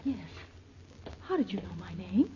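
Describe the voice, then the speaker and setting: A thin, phone-like vintage radio recording carries an actress as a nervous young American woman. Her medium-pitched voice is breathy and hesitant, wary of whoever she faces. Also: big pitch swings, normal speed, background noise, lightly acted. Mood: startled, wary. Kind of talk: 1940s radio detective acting.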